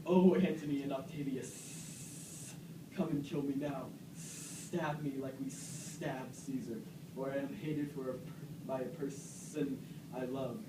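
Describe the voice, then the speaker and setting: A man's voice: medium pitch, fairly even intonation, measured pace, lightly acted. A man playing Cassius, a proud, aggrieved Roman soldier, in an amateur puppet-show parody of Shakespeare, with declamatory delivery. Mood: despairing. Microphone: distant camera microphone. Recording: echoey noisy room, far from mic.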